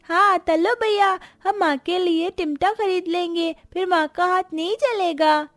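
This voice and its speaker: A woman's voice: very high-pitched, a little slow, no room sound, studio quality, faint music behind, voice pushed up. A woman voicing innocent, eager small children with a pleading, childlike manner.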